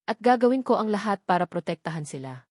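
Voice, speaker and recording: A woman's voice: mid-range voice, big pitch swings, quick pace, a little mellow, dry recording, studio quality. A woman with a Filipino accent voicing an earnest, devoted father pleading with his former love in a romance story.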